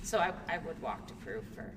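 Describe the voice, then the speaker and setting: A woman's voice: low voice, expressive, brisk. A woman from Illinois co-hosting a casual podcast chat, laid-back and often giving brief replies. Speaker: podcast co-host, music teacher. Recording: echoey room from a distance with background noise.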